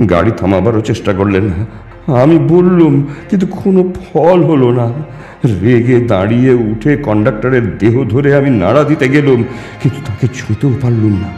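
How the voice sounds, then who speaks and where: A man reading a ghost story in the first person, theatrical and suspenseful, with deliberate pauses and repetitions. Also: mid-range voice, dark tone. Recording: echoing reverb with music underneath. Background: background music.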